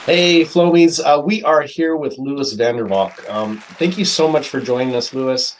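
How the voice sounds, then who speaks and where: Halting, upbeat male podcast host. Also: medium pitch, a little hiss or hum, poor audio. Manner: halting, many fillers and restarts, enthusiastic. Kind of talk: casual interview hosting.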